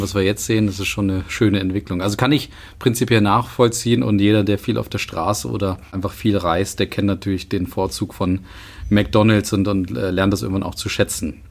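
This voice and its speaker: A man's voice: fairly low pitch, vocal fry. A man hosting a podcast interview who asks long, rambling, friendly questions. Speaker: podcast host. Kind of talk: conversational interview.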